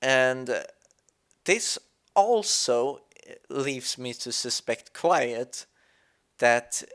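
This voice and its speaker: Slow, halting man. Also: mid-range voice, animated, a bit bright. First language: Swedish. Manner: halting, searching for words, thoughtful. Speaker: let's play commentator. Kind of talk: reflective gameplay commentary.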